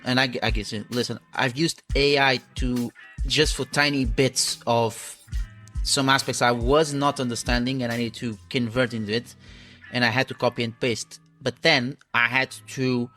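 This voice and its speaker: A man's voice: mid-range voice, pushed and bright. A man with a Portuguese accent, a native Portuguese speaker, hosting an interview and speaking hesitantly with frequent restarts. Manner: hesitant, searching for words, many restarts. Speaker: podcast host. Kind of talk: casual interview talk.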